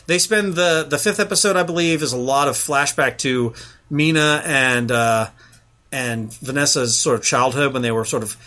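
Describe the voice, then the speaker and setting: A man's voice: medium pitch, normal speed, pushed and bright. A man hosting a casual podcast chat, rambling through a show review with lots of fillers and restarts.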